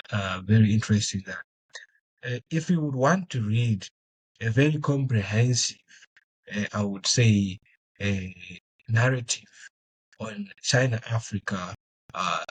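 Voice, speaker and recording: A man's voice: mid-range voice, breathy edge, poor audio. A young man from Zimbabwe whose first language is Shona, answering interview questions thoughtfully with many fillers and restarts. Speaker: guest.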